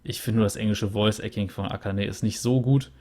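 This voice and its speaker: A man's voice: on the low side, fairly even intonation, fairly quick, dark tone. Chatty young man wrapping up a game. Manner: chatty and rambling, with many fillers. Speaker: let's play host. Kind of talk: casual game wrap-up commentary. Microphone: USB studio microphone.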